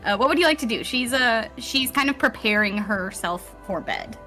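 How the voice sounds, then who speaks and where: A woman's voice: fairly high pitch, animated, normal speed, sharp tone. A woman narrating a live tabletop roleplaying game as game master, with theatrical storyteller phrasing. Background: music.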